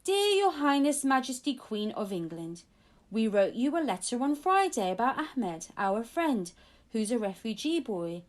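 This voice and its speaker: Woman playing young child, high voice, anxious, earnest, urgent, emphatic, storybook character. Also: a little mellow.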